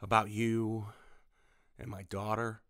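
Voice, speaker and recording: A man's voice: fairly low pitch, little pitch movement, a bit bright. An actor playing a folksy father in an audio drama, who acts like a jovial barfly to confront a predator and can turn menacing. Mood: grim, controlled. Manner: folksy, drawling, mock-friendly, then slow and threatening.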